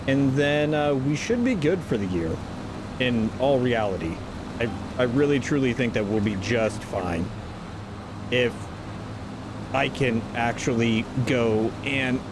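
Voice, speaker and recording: A man's voice: mid-range voice, noisy background. Rambling man with a stuffy nose. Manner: rambling, self-correcting, chatty. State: stuffy from a sinus infection. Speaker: gaming channel host. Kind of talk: casual gameplay commentary.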